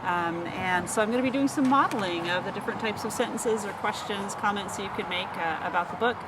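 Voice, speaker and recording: A woman instructor talking parents through a communication-device demonstration, explaining each step aloud as she goes. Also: fast. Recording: roomy echo, distant mic, rumbly noise.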